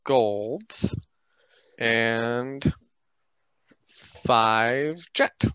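A man's voice: medium pitch, very slow, sharp tone, clean tone, dry recording, highs cut off. A man chatting playfully on a video call during a tabletop game, joking and building on what others say. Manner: joking, riffing on others' ideas. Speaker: game player. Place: indoors, on a group video call.

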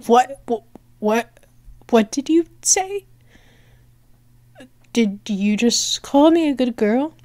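A woman playing a flustered, bratty but affectionate cat-girl girlfriend in an ASMR roleplay, halting and teasing as she stammers. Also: fairly high pitch, a little mellow, no echo, clean studio sound, full character voice. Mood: flustered, playful. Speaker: audio roleplay performer.

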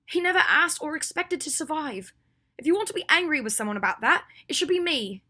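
A woman's voice: sits high, animated, fairly quick, sharp tone, pitched above the performer's own voice. A woman reader acts out a protective young woman in an audiobook novel. She is stubborn and pleading, her urgent voice lifted well above a narrator's tone. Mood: angry, defiant. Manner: urgent, insistent. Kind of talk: audiobook character acting.

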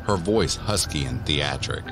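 A man giving measured, deliberate narration for a scripted horror audio drama. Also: rumbling low, expressive. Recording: voice over background music.

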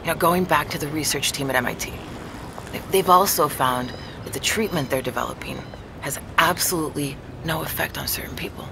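A woman playing a measured, matter-of-fact neurologist who explains things in a naturalistic audio drama. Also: sits low, gravelly, noisy background. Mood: explanatory.

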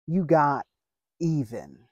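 A man in his thirties preaching a sermon outdoors by a lake, earnest and measured, with deliberate pauses. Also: fairly high pitch, big pitch swings, a little mellow, dry recording. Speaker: pastor. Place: outdoors by a lake, seated on a bench.

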